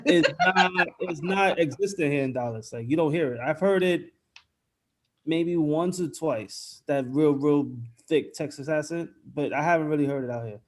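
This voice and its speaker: A man's voice: fairly high pitch, moderate pace. A man with a New York accent, a guest on a casual panel, telling stories animatedly, stumbling and repeating words, on a video call from home. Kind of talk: casual chat.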